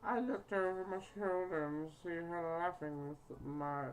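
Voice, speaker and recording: A woman's voice: on the low side, a little slow, a little hiss or hum, rough recording. A foul-mouthed woman reading a game aloud with casual, crude joking and stumbles over the words. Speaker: gaming video host.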